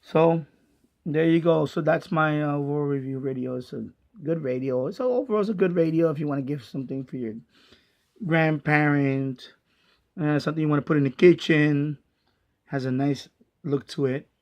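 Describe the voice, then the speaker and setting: A middle-aged man talks through a product review off the cuff. His voice sits a little high for a man and has plenty of fry in it. He feels his way through each point, often halting and restating it. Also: darkish tone. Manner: halting, repetitive, thinking aloud. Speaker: radio hobbyist reviewing a portable radio. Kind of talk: casual unscripted product review.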